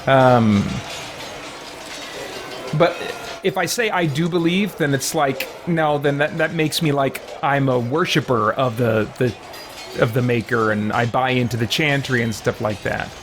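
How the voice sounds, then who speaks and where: A man's voice: mid-range voice, a little slow. A man giving casual live-stream game commentary, rambling as he thinks aloud and acts out lines. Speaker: streamer playing a roleplay game. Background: music.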